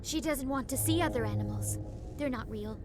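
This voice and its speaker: A woman acts out a very high, fragile young survivor of slavery in a game drama, fearful and detached. She talks haltingly, like a frightened child, with music playing behind her. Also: close to an everyday voice. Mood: agitated denial. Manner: speaks of herself in third person, halting. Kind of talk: dramatic video game acting.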